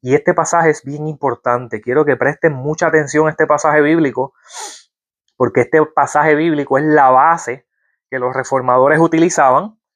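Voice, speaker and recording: A man's voice: on the high side, a bit bright. A man giving a Bible-teaching sermon, reading verses aloud and asking his listeners rhetorical questions. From Puerto Rico. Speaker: preacher.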